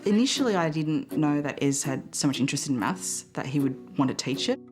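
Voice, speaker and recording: Brief: woman with an unusually low voice, calm recollection, faint music behind. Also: a little fast, some chatter behind. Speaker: interviewee, sister. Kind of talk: documentary interview. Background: background music.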